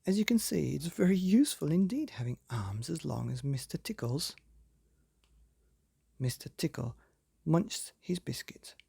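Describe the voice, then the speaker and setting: A man reads a children's story in a mellow, husky voice with a lot of creak. His pitch swings widely as he leans into dramatic pauses and speaks straight to his young listeners. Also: lively intonation, no echo, clean studio sound. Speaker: storyteller, podcast reader. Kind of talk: expressive children's bedtime story reading.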